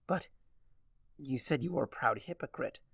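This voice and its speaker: A man's voice: mid-range voice, lively intonation, moderate pace, creaky, a bit bright, breathy edge, dry recording, clean studio sound, full character voice. A man as a timid, faltering young unicorn mare. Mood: anxious confusion. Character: contrite, frightened young female unicorn, reformed villain.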